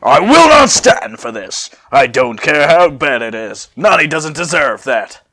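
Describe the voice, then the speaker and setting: A man's voice: fairly high pitch, big pitch swings, a little slow, pushed and bright, exaggerated voice. A man voicing a defiant, fiercely protective young alien hero in an amateur story reading. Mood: indignant shouting.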